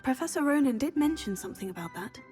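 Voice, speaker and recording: A keen young student of magic. Her voice is high, courteous and earnest. Quiet music sits under the video game dialogue. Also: expressive, fast talker. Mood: polite. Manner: polite, earnest. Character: polite, eager teenage witch student.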